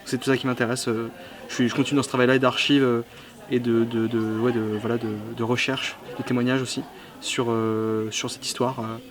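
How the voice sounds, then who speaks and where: A young man from the south of France, a film director, answering interview questions at a film festival, halting with many fillers and restarts. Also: medium pitch, flat delivery, crowd noise.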